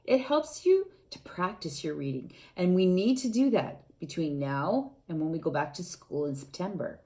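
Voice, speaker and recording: A woman reading a picture book aloud to young children, gently asking them questions and adding playful sound effects. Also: lowish voice, lively intonation, normal speed. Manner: gentle, coaxing, asks the young listeners questions.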